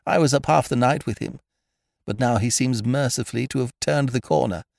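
A man's voice: medium pitch, fairly quick, vocal fry, darkish tone, dry recording, studio quality, voice pushed up, natural voice. A man voicing a fussy elderly aristocratic lady in a storybook, speaking primly and fretfully. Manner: prim, fretful, genteel.

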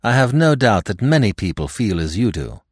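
Man acting an eager, animated gentleman sidekick. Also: lowish voice, normal speed, slightly rough, no echo, studio quality, heavily acted. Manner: eager, earnest. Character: earnest, eager, loyal gentleman companion of a detective.

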